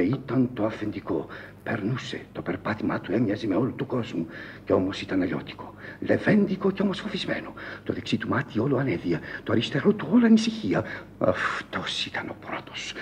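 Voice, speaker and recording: Dramatic, swooping, world-weary ragpicker. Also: male voice, fairly low pitch, big pitch swings, a little mellow, slight background noise. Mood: ominous, storytelling. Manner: dramatic, storytelling, emphatic. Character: world-weary streetwise ragpicker, eloquent and ominous. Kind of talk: classic Greek radio theatre monologue. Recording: dull old radio recording.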